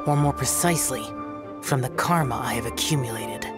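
A man's voice: mid-range voice, fairly quick, over loud music, lightly acted. An actor playing an aloof young male demon-hunting warrior in a video game, speaking in a formal, cold and grave way.

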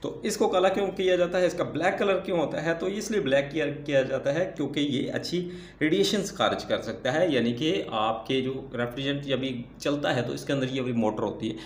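A man's voice: medium pitch, a little fast, slight background noise. A man teaching repair at a workbench, explaining step by step and asking himself questions before answering them. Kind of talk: hands-on repair tutorial. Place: workbench indoors, off camera. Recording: echoey room.

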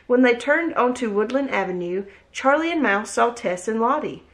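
Woman gently reading a picture book. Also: fairly high pitch, moderate pace. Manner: gentle, expressive storytelling. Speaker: read-aloud host. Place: home room, indoors. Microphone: webcam at desk distance.

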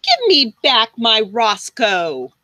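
A woman's voice: fairly high pitch, huge pitch swings, slow pace, bright, forceful tone, no room sound, studio quality, full character voice. A woman voicing a stubborn, folksy old male farmer in a read-aloud storybook. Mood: indignant.

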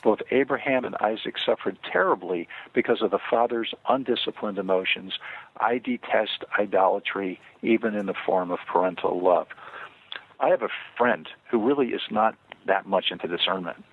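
An older man, an author and guest on a radio interview, speaking earnestly and measuredly with frequent pauses and fillers. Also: lowish voice, slightly rough. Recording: tinny phone line, dry.

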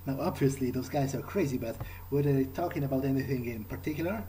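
A man's voice: medium pitch, fast, mellow, a little hiss or hum. A man improvising in character during a video game, conversational and questioning, with hesitant phrasing. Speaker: let's player roleplaying in character.

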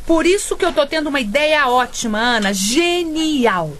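A woman's voice: sits high, expressive, moderate pace, a bit bright, slight background noise. A wheedling scheming mother. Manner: coaxing, wheedling. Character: scheming mother Nélia, sweet-talking cook. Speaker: radio drama actress. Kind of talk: radio soap acting.

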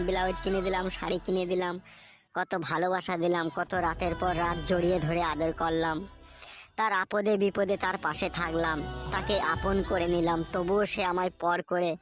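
A man's voice: high-pitched, close to an everyday voice. A man voicing a crude, self-pitying jilted village husband in an adult comedy cartoon, with long-winded, plaintive lamenting. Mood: mournful, forgiving. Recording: loud music under the voice.

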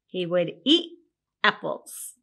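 Slow, animated woman reading a storybook. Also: lowish voice, gravelly. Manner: expressive, deliberate. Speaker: storybook reader. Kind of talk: scripted children's story narration.

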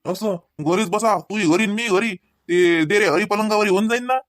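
A man's voice: sits high, normal speed, a bit bright, dry recording. A man plays a work-minded husband in a comedy cartoon, forever exasperated. He speaks with a Varhadi Marathi accent and sarcastic impatience. The sound is close and dry, with no room around it. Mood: impatient. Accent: Varhadi Marathi from Vidarbha.

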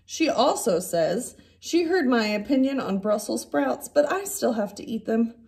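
A woman in her thirties reading a picture book aloud like a teacher, with expressive, drawn-out emphasis on key words. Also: fairly high pitch, gravelly. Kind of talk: animated children's story read-aloud. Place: indoor classroom.

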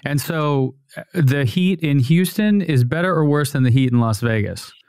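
A man in his thirties hosting a podcast, chatting in a relaxed, friendly way at a studio mic in a dim home studio. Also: fairly high pitch, moderate pace, smooth, no echo. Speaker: podcast host. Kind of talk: casual interview chat. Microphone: studio mic on boom, headphones.

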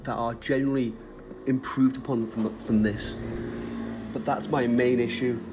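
A man's voice: mid-range voice, steady tone, normal speed, noisy background. A man giving casual, chatty commentary as he plays a video game. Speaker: gamer, let's play host. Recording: game music behind the voice. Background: video game music.

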